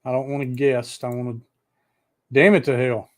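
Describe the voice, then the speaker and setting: A man's voice: medium pitch, normal speed. A man hosting a live streamed talk show, chatting casually and swearing freely as he fumbles with the streaming setup. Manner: profane, rambling and excitable. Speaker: podcast host.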